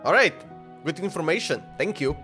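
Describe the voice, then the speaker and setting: Male game streamer, high for a man, casual slangy live commentary with repeats, game music behind. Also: animated, moderate pace, sharp tone.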